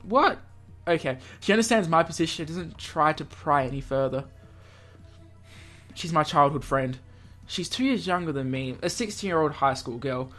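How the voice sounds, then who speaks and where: A man's voice: on the high side, expressive, moderate pace, fairly bright tone, soft background music. A man hosting a let's play, reading visual novel text dramatically and breaking off for playful asides. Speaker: gaming video host reading aloud.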